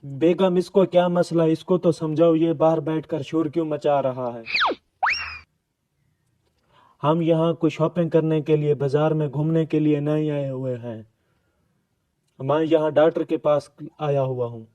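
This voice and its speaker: A man's voice: on the high side, fairly even intonation, normal speed, mellow, dull audio, lightly acted. A man playing a pleading, exasperated husband and father in a cartoon. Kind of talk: cartoon dialogue.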